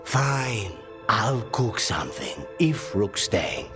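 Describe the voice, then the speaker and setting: Game casting: a dry professional assassin, low voice with wide melodic swings, music underneath. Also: male voice, sing-song, takes it slowly. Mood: dry, wry. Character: dry, professional contract killer who loves cooking and coffee. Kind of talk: video game cutscene acting.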